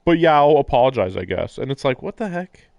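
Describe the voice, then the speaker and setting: A man's voice: medium pitch, sing-song, a little fast, creaky, darkish tone, dry recording. A man reading a visual novel's narration aloud on a stream, breaking into casual commentary.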